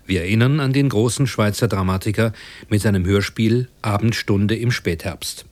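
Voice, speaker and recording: Lower-voiced male radio announcer, very dry sound. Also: moderate pace, dry recording. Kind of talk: formal scripted broadcast announcement.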